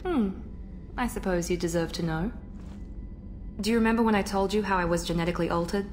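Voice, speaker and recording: An actress playing a composed female operative in a video game, with a crisp, controlled delivery. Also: medium pitch, brisk, darkish tone, soft background music, close to an everyday voice. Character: cool, composed female operative, loyal and precise.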